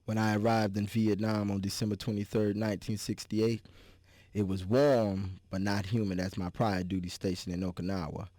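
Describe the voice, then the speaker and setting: A man's voice: on the low side, level tone, normal speed, warm tone, dry recording, natural voice. A man giving a dramatic reading as a wry, scarred army veteran. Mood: wry, reflective. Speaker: reader.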